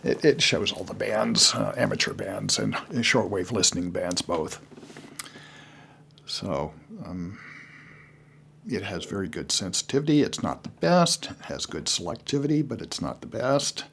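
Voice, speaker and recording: An older man giving casual, unscripted how-to advice to beginners as a hobbyist, in a halting, rambling way with frequent pauses. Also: fairly low pitch, sing-song, creaky, a bit bright, slight background noise.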